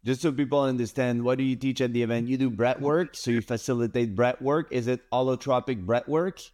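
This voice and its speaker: A man's voice: medium pitch, fairly even intonation, a little fast, darkish tone. A man hosting an interview, eager and affirming as he asks his guest questions. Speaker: podcast host, breathwork teacher. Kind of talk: conversational interview.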